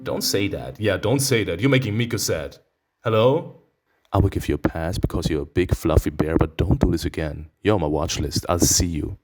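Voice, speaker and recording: A man reading a visual novel aloud as a let's-play host, breaking off into expressive, teasing reactions, with guitar music behind him. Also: sits low, normal speed.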